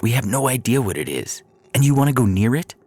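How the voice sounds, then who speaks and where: A man voicing an urgent, alarmed, cautious friend, raised pitch. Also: very animated, fast talker, warm tone, soft background music, natural voice. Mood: alarmed, insistent. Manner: urgent, emphatic warning. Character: alarmed, cautious, argumentative injured male friend in science fiction.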